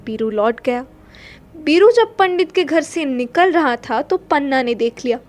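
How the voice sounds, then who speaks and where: A woman's voice: sits high, lively intonation, unhurried, a little mellow. A woman narrating a literary story in a dramatic audio-play style. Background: faint music.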